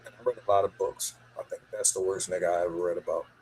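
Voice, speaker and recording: A man's voice: deep voice, flat delivery, fast talker, darkish tone, slight background noise, low-quality recording. A man co-hosting a podcast, talking casually in a blunt, slangy, emphatic way. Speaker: podcast co-host. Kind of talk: casual chat.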